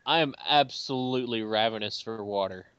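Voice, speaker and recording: A man's voice: lowish voice, normal speed, fairly bright tone, clear, resonant tone, dry recording. A man co-hosting a casual fan movie commentary, joking crudely and swearing freely. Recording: dry, dull lo-fi home mic.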